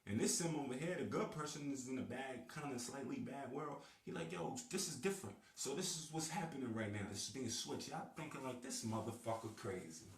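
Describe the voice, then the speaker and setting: A man's voice: medium pitch, a little fast, few pauses, reverberant, recorded from a distance. A man giving a slangy, emphatic vlog monologue to his viewers, repeating his points. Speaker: video blogger. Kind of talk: casual street-talk monologue to camera.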